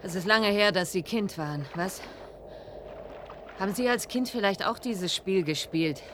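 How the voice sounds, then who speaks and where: A woman's voice: mid-range voice, measured pace, background noise, natural voice. An actress playing a wistful, defiant woman diver in a German radio play, speaking with pointed drama. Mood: bitter, pointed. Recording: loud watery background sound effects.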